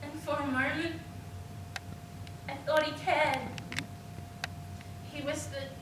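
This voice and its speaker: An anguished young woman, acted by a woman in a stage monologue, speaks slowly in a high voice with heavy dramatic pauses. Her theatrical delivery is heard from far off in a very echoey, noisy room. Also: flat delivery. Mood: hushed sorrow. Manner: halting, dramatic pauses, swinging from hushed to screamed.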